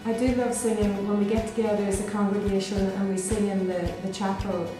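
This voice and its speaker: A middle-aged woman with a Belfast accent talks gently and thoughtfully, pausing on ums and restarting phrases. Her pitch stays level and even throughout. The sound is very echoey, with clear music underneath. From Northern Ireland. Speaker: Dominican sister. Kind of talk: reflective personal interview.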